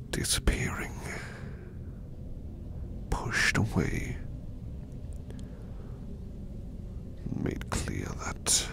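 Man playing a very deep, whispering gentle servant. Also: heavily acted. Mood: soothing, attentive. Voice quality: soft, breathy whisper with low creaky rasp. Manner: slow, tender, reassuring, formally polite. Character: gentle, protective male servant soothing his master to sleep. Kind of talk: ASMR roleplay, personal attention.

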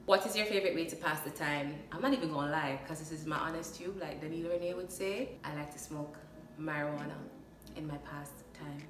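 A woman's voice: deep voice, brisk, reverberant, far from the mic, very noisy. A young Jamaican woman chatting playfully in a casual vlog, slipping into patois, recorded on a phone in a small room. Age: young adult. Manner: chatty, playful, laughs often, slips into patois. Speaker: vlogger. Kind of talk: casual get-to-know-me vlog. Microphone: phone camera at a short distance.

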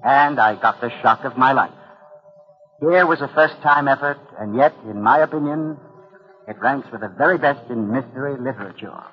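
A dull, lo-fi vintage broadcast of a man introducing radio drama. His voice is mid-pitched, and he narrates in formal, scripted 1940s style. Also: normal speed, husky. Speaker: host of a radio mystery series.

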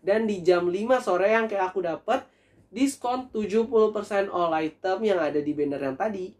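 A young man in a casual food review vlog, talking in a chatty, animated way to his viewers while seated at a table in a small room. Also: sits high, normal speed. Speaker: food vlogger.

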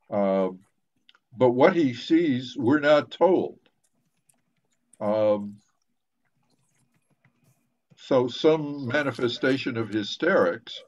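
Older male academic, very slow and deliberate delivery with pauses, dull video-call sound. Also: mid-range voice, a little mellow. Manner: halting, deliberate, with long pauses. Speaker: professor moderating a book discussion. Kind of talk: literary discussion, lecturing informally.

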